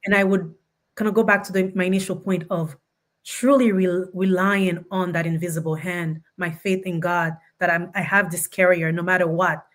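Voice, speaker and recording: Lowish-voiced woman with a foreign accent. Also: fairly even intonation, normal speed. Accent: non-native English. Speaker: panelist, attorney. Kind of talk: personal, reflective panel talk.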